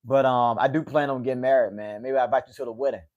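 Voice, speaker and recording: A man's voice: medium pitch, steady tone, fast, darkish tone, lo-fi sound. A man in his late twenties chatting casually on his own livestream, rambling and repeating himself, with "man" at the end of many sentences. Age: 20s. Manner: rambling, repeats words, says "man" a lot. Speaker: livestream host.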